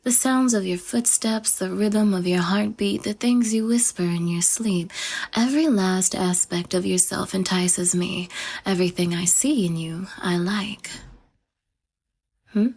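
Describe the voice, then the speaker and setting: A woman voicing a vain, possessive goddess in an audio roleplay, with a breathy, whispery voice and a self-adoring, teasing manner. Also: medium pitch, a little slow, a little mellow. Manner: self-adoring, teasing, sing-song swings up. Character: vain, possessive female goddess, adult, obsessive.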